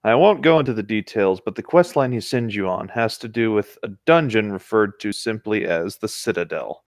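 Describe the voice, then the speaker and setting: A man's voice: fairly low pitch, lively intonation, normal speed, no room sound. A man reading a story aloud as co-host in a casual, conversational way, with dry asides.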